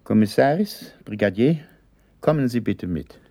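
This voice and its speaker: An actor playing a formal, polished company director in a German radio crime drama, speaking with careful politeness. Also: male voice, lowish voice, animated, moderate pace, dark tone. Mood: businesslike, polite.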